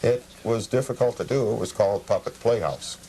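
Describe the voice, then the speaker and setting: A man interviewed as a collector, explaining things informally in a halting, factual way on old TV tape. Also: fairly low pitch, warm tone, slight background noise, low-quality recording.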